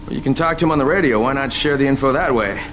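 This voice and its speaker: An actor playing a wry, casual survivor hero in a video game. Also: male voice, medium pitch, very fast, fairly bright tone, faint music behind, natural voice. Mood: practical. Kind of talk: video game cutscene acting.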